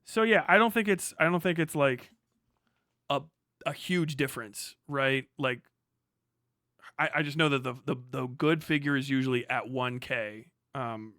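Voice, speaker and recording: A man livestreaming casually, muttering figures as he reads and thinking aloud in halting bursts with plenty of swearing. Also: mid-range voice, sharp tone, no echo, studio quality. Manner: swears freely. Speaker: live streamer.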